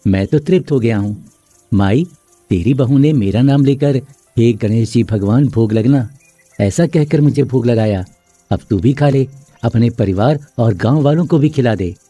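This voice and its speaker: A man voicing a gentle, calm god in the form of a boy in a storybook tale. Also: medium pitch, unhurried, no echo, soft background music. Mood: content, kindly. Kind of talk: acted storybook dialogue.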